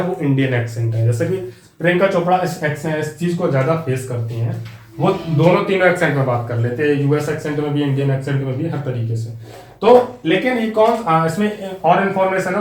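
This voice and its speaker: A man's voice: fairly high pitch, roomy sound. A man with an Indian accent teaching an exam-prep class, repeating key facts and speaking straight to his students. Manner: repetitive, drilling facts, addresses students directly.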